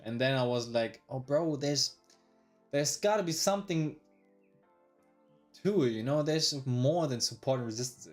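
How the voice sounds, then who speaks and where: Twenty-something guy rambling on his trading stream, mid pitch, lots of bro and swearing, faint music behind. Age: 20s. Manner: slangy, swears often, says "bro" a lot; rambling with restarts, replays his past thoughts aloud. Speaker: day trader live-streaming. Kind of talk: casual live-stream chat.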